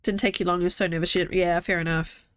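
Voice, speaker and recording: A woman's voice: on the low side, flat delivery, quick pace. A young woman streamer with a congested, stuffy nose, chatting casually and thinking aloud as she plays a game from home. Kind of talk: casual live gameplay chat.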